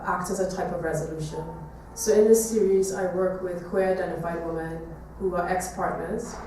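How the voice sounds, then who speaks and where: Echoey, distant low-voiced woman presenting. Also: darkish tone. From Trinidad. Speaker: visual artist giving a presentation. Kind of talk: artist talk to an audience. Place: echoey room, talk to an audience. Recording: echoey room, distant mic, faint noise.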